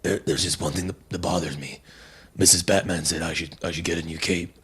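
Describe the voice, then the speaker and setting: A man doing a parody of a gruff, bumbling superhero detective, husky and halting. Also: deep voice, fairly even intonation, a bit bright, pitched below the performer's own voice, full character voice. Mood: muttering, puzzled. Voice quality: husky, gravelly. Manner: halting, muttering.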